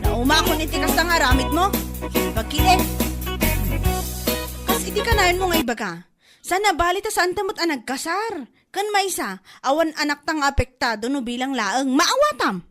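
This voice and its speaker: High, expressive Ilocano fed-up wife played by a woman. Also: moderate pace, over music. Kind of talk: comedy drama podcast acting.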